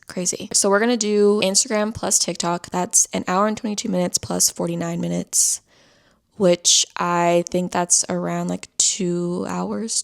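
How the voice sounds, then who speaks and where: A woman's voice: fairly low pitch, creaky. A young woman vlogging in a casual chatty way, a bit stuffy-nosed, sometimes dropping to a whisper. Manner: chatty, often drops to a whisper. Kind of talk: casual vlog chat.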